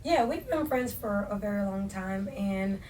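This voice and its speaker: A woman's voice: mid-range voice, a little slow, a little mellow. A young woman hosting a casual podcast chat, her voice strained and worn, talking in slangy, laughing bursts. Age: young adult. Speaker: podcast host. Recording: distant mic with faint noise.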